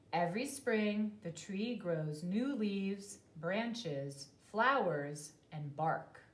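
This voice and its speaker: A woman reading a children's nonfiction book aloud like a teacher, in a deliberate, clearly phrased manner. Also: fairly low pitch.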